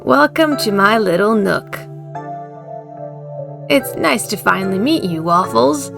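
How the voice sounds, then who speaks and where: An older small-town woman acted by a woman, gracious and motherly. She speaks very slowly with a creaky edge, over background music, as a hospitable character in a visual novel. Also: mid-range voice, animated, heavily acted. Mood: gracious, welcoming. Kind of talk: visual novel fan dub.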